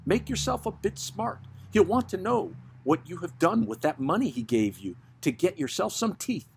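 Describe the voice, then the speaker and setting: A man's voice: high voice, big pitch swings, moderate pace, gravelly, slight background noise, voice pushed up, full character voice. A man voicing a blunt, gossiping working-class woman in a dramatic poem, chatty and scolding. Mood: scolding, gossipy.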